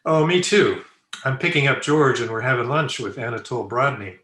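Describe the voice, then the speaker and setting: Man as smug playboy friend, radio comedy, sharp bright tone, breezy delivery, roomy video-call sound. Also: mid-range voice, lively intonation, normal speed, close to an everyday voice. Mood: easygoing. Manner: breezy, self-satisfied. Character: suave, flippant bachelor playboy, breezily flirtatious friend. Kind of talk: old-time radio comedy acting. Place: indoor room, remote video call. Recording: roomy echo on home video-call recording.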